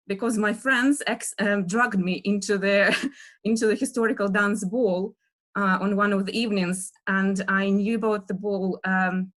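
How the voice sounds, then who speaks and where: A woman with a Russian accent talks through an online lecture on a video call, her voice at an everyday middle pitch. She hesitates often, filling gaps with ums and small laughs while she gathers her words. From Russia (Western Siberia). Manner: many fillers, soft laughs, searching for words. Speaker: dance historian giving an online lecture.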